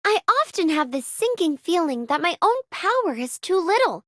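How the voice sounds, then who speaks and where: A woman's voice: very high-pitched, sing-song, moderate pace, fairly bright tone, no room sound, studio quality, full character voice. A woman voicing an idealistic, determined yet self-doubting young woman in a video game, earnest and sincere. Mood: earnest, hopeful. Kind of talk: game character voice acting.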